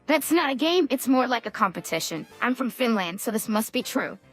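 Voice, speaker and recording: A woman's voice: fairly high pitch, sing-song, fairly quick, faint music behind. A synthetic woman's voice playing a skeptical, put-upon teenage cartoon daughter.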